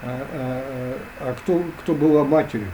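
A man talking as a guest in an interview, hesitant and full of drawn-out fillers. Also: medium pitch, steady tone, noisy background. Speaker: guest expert. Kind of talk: conversational interview.

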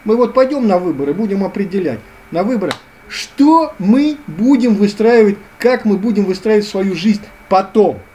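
Man, earnest lecturing guest, warm relaxed tone, slight background hiss. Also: sits high, normal speed. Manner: earnest, lecturing, repetitive phrasing. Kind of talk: philosophical interview talk.

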